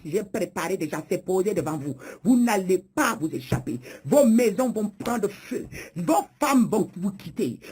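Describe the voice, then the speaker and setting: Prophetic preacher, raspy voice, widely swinging intonation, repeated accusatory phrases, declamatory delivery. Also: medium pitch, a little mellow. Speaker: self-proclaimed prophet speaking as God. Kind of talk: prophetic denunciation sermon.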